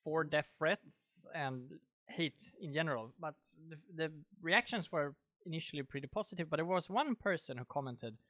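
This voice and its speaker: Swedish male podcast host, slightly high voice, relaxed and talkative. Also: moderate pace. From Sweden. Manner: relaxed, chatty, laughs easily. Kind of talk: casual chat.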